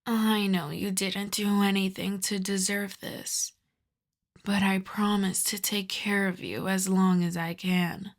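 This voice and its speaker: A woman voicing a lovesick, possessive female vampire in an intimate ASMR roleplay, hushed and often slipping into whispers. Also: mid-range voice, level tone, slow. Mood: resigned, coaxing. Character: lovesick female vampire, sorrowful, pleading, possessive, tenderly menacing.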